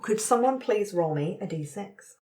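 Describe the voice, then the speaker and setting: A woman's voice: mid-range voice, expressive, normal speed, mellow. A woman explaining game rules conversationally as facilitator, checking in with her group of players.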